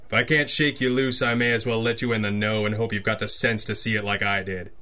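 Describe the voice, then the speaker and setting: Man playing bright, forceful sardonic police lieutenant. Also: lowish voice, fairly even intonation, a little fast. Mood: needling. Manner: curt, sardonic. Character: gruff, sardonic male police lieutenant, jaded, secretly merciful. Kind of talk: old-school radio detective drama.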